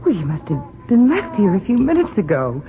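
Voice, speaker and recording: A woman's voice: mid-range voice, wildly swooping pitch, fairly quick, over music. An actress playing a tender, determined wife and mother in an old-time radio drama, earnest and emotive. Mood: hushed wonder. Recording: noisy, phone-like old radio recording.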